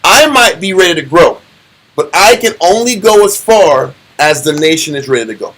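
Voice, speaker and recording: A middle-aged man leading a Bible study, teaching in an animated, preachy way and often repeating himself. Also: fairly high pitch, moderate pace, poor audio.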